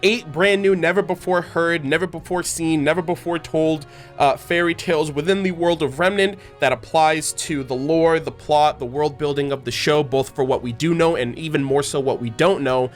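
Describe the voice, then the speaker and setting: A man with a fairly high voice hosts a fan channel in a casual, rambling way. He sounds enthusiastic, with soft music behind him. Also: fairly even intonation, normal speed. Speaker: host of a fan channel about an animated series.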